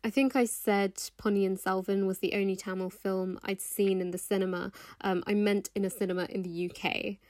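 A woman's voice: mid-range voice, flat delivery, normal speed, hardly any pauses, a little mellow, no echo, studio quality. A British woman speaking as a podcast guest, reflecting in a thoughtful, articulate way. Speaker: author and actor, podcast guest. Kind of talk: reflective spoken commentary.

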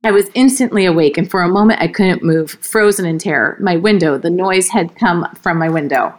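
A woman reading a story aloud as a podcast host, informal and expressive, ready to step out of the narration for asides. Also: lowish voice, a little fast. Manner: expressive, breaks character, giggly slips and asides.

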